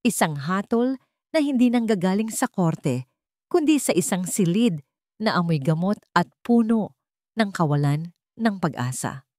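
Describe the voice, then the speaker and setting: A woman's voice: mid-range voice, lively intonation, a little slow, mellow, no echo, studio quality. A woman reading a scripted, dramatic story as its narrator.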